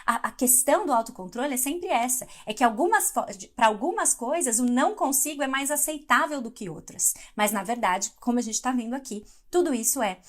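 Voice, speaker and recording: A middle-aged woman from Brazil leads a live devotional in a chatty, conversational way, pausing to answer viewers. Her voice sits a little high for a woman. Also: lively intonation. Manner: conversational, reads live comments aloud. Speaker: devotional speaker.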